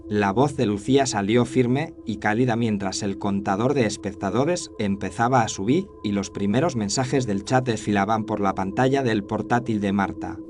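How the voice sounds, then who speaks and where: A man's voice: lowish voice, moderate pace, hardly any pauses, music in the background. A man reading a scripted first-person horror story as its narrator. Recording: clear noise and ambience behind voice.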